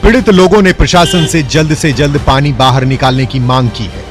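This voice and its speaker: A man's voice: a little high, brisk. Formal male news narrator over music.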